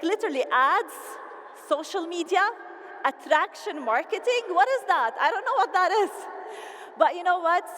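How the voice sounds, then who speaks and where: A woman with a Lebanese accent giving an animated, playful motivational speech at a convention and rallying the crowd. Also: very high-pitched. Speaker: convention speaker. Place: large convention hall. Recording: reverberant hall, faint ambience.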